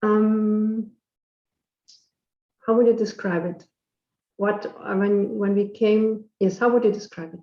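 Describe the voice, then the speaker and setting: A woman coach interviewing a client, asking gentle questions with pauses. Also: mid-range voice, fairly even intonation, reverberant, highs cut off. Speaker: coach and host.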